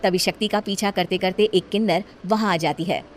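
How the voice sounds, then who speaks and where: A woman storyteller reading scripted narration for an animated tale. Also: medium pitch, moderate pace. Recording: music behind the voice.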